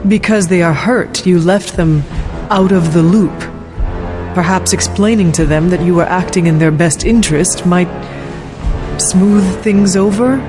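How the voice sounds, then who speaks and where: An actress playing a solemn resurrected warrior in a video game, speaking formally and earnestly with measured care. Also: female voice, lowish voice, over music, natural voice. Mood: probing, calm. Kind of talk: video game cutscene acting.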